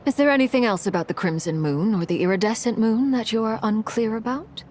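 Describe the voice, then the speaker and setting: An actress playing a cold, composed noble commander who speaks in a measured, formal way in a video game. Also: female voice, a little high, a little mellow, a little hiss or hum, close to an everyday voice.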